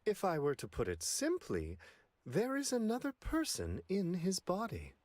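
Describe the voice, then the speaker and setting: Courtly otherworldly attendant, anime game, very slow measured phrasing, wildly swooping pitch, polite and unflappable. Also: male voice, wildly swooping pitch, a little mellow, no room sound, heavily acted. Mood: intrigued, composed. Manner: prim, polite, theatrically lilting delivery. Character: courtly, unflappable male celestial attendant to a god. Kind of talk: anime video game voice acting.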